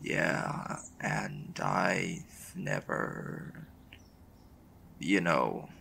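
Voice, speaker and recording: A man performs a young, lovestruck male college mage for a boyfriend roleplay. His voice is low and heavily fried, and he talks slowly in halting, casual phrases, earnest and a little unsure of himself. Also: big pitch swings, vocal fry, background noise, exaggerated voice. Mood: shy, confessional. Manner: hesitant, halting, casual slangy delivery. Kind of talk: intimate boyfriend audio roleplay.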